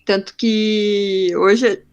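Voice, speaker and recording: A woman's voice: medium pitch, extremely slow, smooth. A young adult woman from northern Brazil, with a faint northern Brazilian accent, speaking frankly as a podcast guest and acting out the dialogue in her stories. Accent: faint northern Brazilian Portuguese. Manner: frank, animated storyteller acting out quoted dialogue. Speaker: podcast guest, marketing professional. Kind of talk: casual interview conversation.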